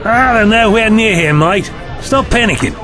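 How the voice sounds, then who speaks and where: A man with an Australian accent acting a gruff, mocking desert bar owner in an audio drama, blunt and slangy. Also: sits high, expressive, moderate pace, crowd noise. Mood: sardonic, blunt. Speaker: actor. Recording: loud music bed behind the voice.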